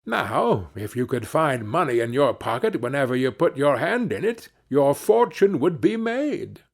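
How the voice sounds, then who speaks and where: A man's voice: mid-range voice, big pitch swings, unhurried, vocal fry, heavily acted. A man voicing a jolly, crafty travelling scissor grinder in a fairy tale, with hearty, coaxing patter. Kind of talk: fairy-tale storybook character reading.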